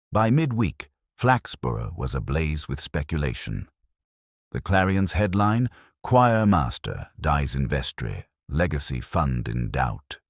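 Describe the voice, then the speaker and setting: A man's voice: very deep voice, slightly rough, dry recording, studio quality. A man reading a scripted mystery story as an audiobook narrator.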